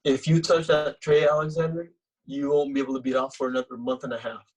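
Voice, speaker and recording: A man playing a stubborn, smug and pious home cook in a comedy table read, speaking in deadpan, emphatic lines. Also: medium pitch, fast talker, close to an everyday voice, poor audio. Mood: deadpan threatening.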